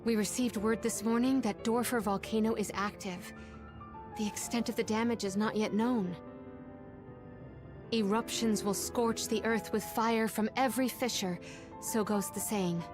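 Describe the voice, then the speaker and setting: A woman's voice: a little high, fairly even intonation, a little slow, music in the background, close to an everyday voice. A woman voicing a composed, scholarly companion in a video game, with a formal and precise way of speaking. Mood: grave, composed. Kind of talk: video game cutscene acting.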